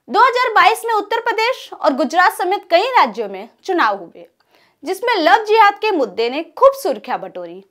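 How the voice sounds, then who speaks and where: High-pitched, animated woman presenting news. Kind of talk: scripted news narration.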